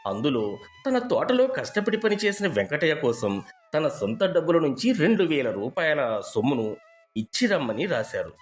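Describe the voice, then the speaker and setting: A man's voice: fairly high pitch, very animated, normal speed, soft background music. Man acting an earnest, firm storybook lawyer. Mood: solemn, kindly. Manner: formal, firm, reassuring. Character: earnest, persuasive male lawyer delivering a bequest. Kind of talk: dramatised moral storybook dialogue.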